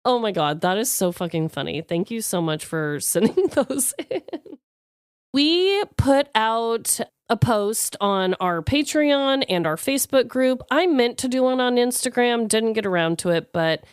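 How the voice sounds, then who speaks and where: Animated, clear-voiced woman bantering. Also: a little high, a little slow, dry recording, studio quality. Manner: emphatic, informal, reactive. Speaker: podcast co-host. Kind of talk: casual chat with banter.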